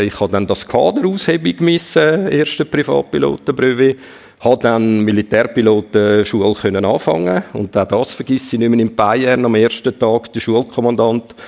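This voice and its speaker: Swiss German man, guest storyteller, halting delivery, ordinary mid pitch. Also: creaky, darkish tone. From Switzerland. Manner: hesitant, many fillers. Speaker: former military pilot, guest. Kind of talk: casual storytelling.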